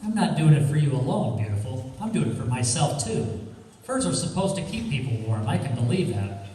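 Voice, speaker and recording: An actor playing a confident, wisecracking amateur sleuth with brisk, tough slang in an old-time radio crime drama. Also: male voice, on the high side, very animated, warm tone. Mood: determined. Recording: echoey hall, distant mic, faint noise.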